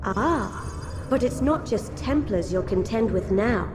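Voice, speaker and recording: A woman playing a composed, taunting Templar decoy in a video game, measured and knowing. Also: medium pitch, lively intonation, noisy background. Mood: calm, knowing. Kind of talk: video game cutscene acting.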